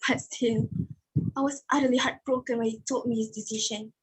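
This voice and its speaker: Husky young village woman, heartbroken, played by a woman. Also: fairly high pitch, steady tone, fairly quick, poor audio. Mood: hurt, reflective. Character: heartbroken, humiliated young village woman recounting her past. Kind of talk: dramatic monologue in a play reading.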